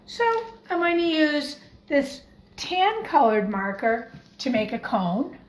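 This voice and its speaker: A woman teacher gently and encouragingly talking young children through a craft in simple words as she demonstrates it. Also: high voice, sing-song, slow pace. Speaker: primary school teacher leading a craft. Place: home kitchen, by the refrigerator. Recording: echoey room with faint background noise.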